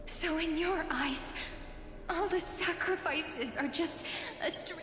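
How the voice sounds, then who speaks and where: A woman's voice: very high voice, slow pace. A woman playing an earnest, sorrowful young heroine in a fantasy game, speaking plaintively and formally. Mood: sad reflection. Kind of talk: dramatic video game voice acting. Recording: reverberant hall effect over music.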